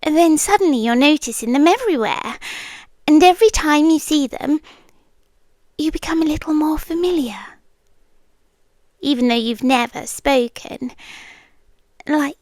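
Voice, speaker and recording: A British woman playing a shy, obsessive yandere girlfriend in an intimate ASMR roleplay, soft, breathy and hesitant, often slipping into a whisper. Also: high voice, no room sound. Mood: dreamy, obsessive. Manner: slow, hesitant, confiding. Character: shy, obsessive young yandere girlfriend, sweetly intense and nervous.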